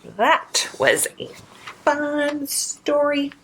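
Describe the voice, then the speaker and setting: A woman hosting a children's storytime, reading aloud in an expressive, deliberate storytelling manner. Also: high-pitched, a little hiss or hum, low-quality recording. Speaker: librarian storytime host. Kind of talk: expressive read-aloud of rhyming children's picture book.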